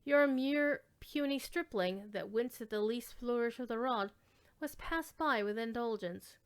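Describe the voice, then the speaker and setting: Clear, high-voiced woman reading a classic tale. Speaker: storyteller reading aloud. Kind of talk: dramatic reading of classic literary fiction.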